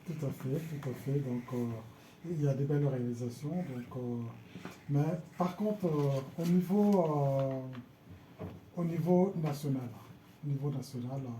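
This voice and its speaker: A man's voice: mid-range voice, dark tone. A man interviewing a guest, asking questions hesitantly with many fillers. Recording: echoey room with faint noise.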